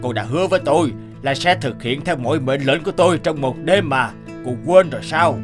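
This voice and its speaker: A man voicing a calm, insistent enchanted frog in a cartoon fairy tale, measured, courteous and commanding. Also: a little high, over music, close to an everyday voice.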